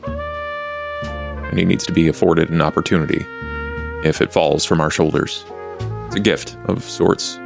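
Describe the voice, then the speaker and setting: Man voicing a gravelly, stoic vampire gentleman. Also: deep voice, steady tone, over music, heavily acted. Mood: solemn, reflective. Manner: formal, measured. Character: Duke, tall, stoic, courteous, calculating male vampire.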